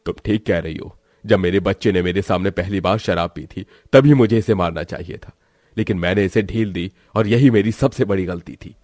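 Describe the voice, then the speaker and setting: A man's voice: sits low, normal speed, darkish tone, no echo, clean studio sound, lightly acted. A man voicing a proud, formal rich father in a Hindi cartoon moral story. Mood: regretful. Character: proud rich father, class-conscious businessman.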